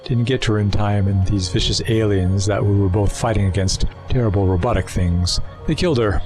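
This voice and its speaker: A man's voice: lowish voice, fairly even intonation, fairly quick, music in the background, close to an everyday voice. Wry, mocking actor as a male alien schemer. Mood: mocking, chatty. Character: sly, talkative male alien time traveller, the Doctor's rival. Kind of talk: full-cast audio drama acting.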